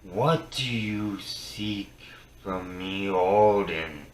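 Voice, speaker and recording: A low-pitched man recites myth as a storyteller, solemn and theatrical. He goes extremely slowly, breaking lines into short phrases that move between hushed and booming. The sound is very roomy. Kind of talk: dramatic poetry reading. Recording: roomy, distant mic with faint noise.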